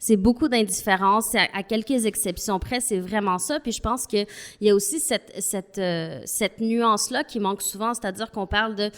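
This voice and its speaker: A woman's voice: mid-range voice, normal speed. Earnest woman. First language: not French. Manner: earnest, thoughtful, argues step by step. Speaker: panel guest. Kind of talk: panel discussion.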